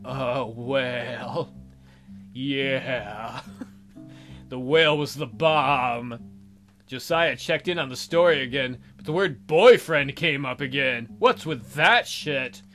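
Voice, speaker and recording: A man's voice: mid-range voice, takes it slowly, fairly bright tone. A man narrating a let's play of a visual novel, reading aloud with playful, irreverent asides. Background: faint guitar music.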